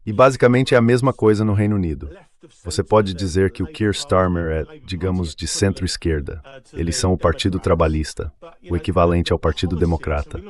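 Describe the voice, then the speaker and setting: Very low-pitched man giving political commentary. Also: steady tone, fairly quick. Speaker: interview guest, political commentator.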